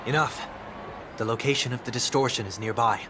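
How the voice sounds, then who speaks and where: An actor playing a calm, solemn fallen leader in a video game, speaking in a formal, measured, reflective way. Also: male voice, mid-range voice, noisy background, close to an everyday voice. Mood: firm. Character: calm, solemn fallen leader man with ear feathers and halo. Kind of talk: English video game voice acting. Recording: loud background music. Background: game music.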